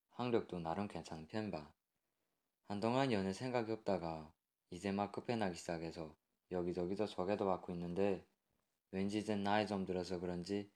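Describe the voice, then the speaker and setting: A man reading aloud a young woman's letter in a Yanbian Korean accent. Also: natural voice. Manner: reading a listener's letter aloud, soft and low. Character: woman letter writer in her twenties.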